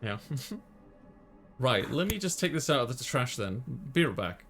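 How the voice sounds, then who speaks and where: A man's voice: medium pitch, very animated, measured pace, a bit bright. A man hosting a gaming video, reading visual novel text aloud and adding casual asides.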